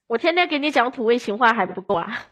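A woman with a fairly high voice talks as a guest on a podcast. Her style is casual and conversational, with playful teasing and back-and-forth banter. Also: lively intonation, quick pace, a bit bright. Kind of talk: casual chat.

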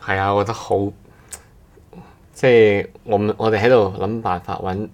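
A man's voice: lowish voice, fairly even intonation, moderate pace, darkish tone, highs cut off. A man chatting casually as a guest on a podcast. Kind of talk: casual chat. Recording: clearly noisy background.

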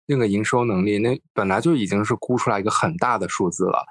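A man with a fairly low voice hosts a casual podcast. He tells anecdotes at a rapid clip, with colloquial asides. The sound is very dry and close. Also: slightly rough, no echo. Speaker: podcast host.

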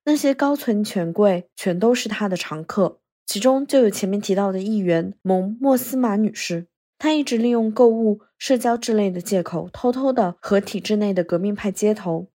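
Mellow female podcast narrator. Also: medium pitch. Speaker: podcast host. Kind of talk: scripted explanatory narration.

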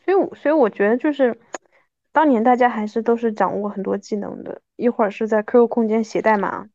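A woman in her thirties chatting casually and reminiscing as a podcast guest, animated and self-mocking. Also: a little high, brisk, no room sound, muffled recording. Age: 30s.

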